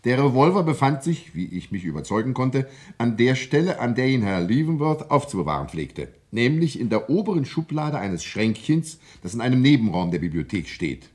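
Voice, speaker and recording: A man's voice: mid-range voice, very animated, moderate pace, few pauses, darkish tone, lightly acted. A man playing a commanding coroner in a German radio crime drama, formal and insistent in his questioning. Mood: formal, businesslike. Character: commanding male coroner questioning witnesses at an inquest.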